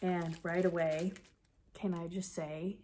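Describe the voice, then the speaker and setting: A woman's voice: lowish voice, flat delivery, slow, warm tone, a little hiss or hum. A woman vlogging casually about knitting, sniffly from the cold, chatting in a rambling way that corrects itself as she goes. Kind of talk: casual chat to camera.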